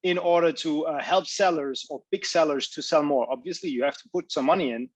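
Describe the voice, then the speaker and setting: Male host for a casual interview podcast. Chatty, slightly high voice, close dry sound. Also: a little fast, no echo.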